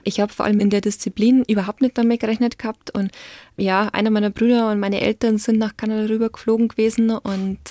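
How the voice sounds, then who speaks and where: A young woman in her twenties with a Bavarian accent, chatting reflectively as an interview guest, often trailing off softly and laughing easily. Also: medium pitch, little pitch movement, fast talker, no room sound. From Lower Bavaria, Germany. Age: 20s. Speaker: guest, Paralympic athlete. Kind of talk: casual interview talk.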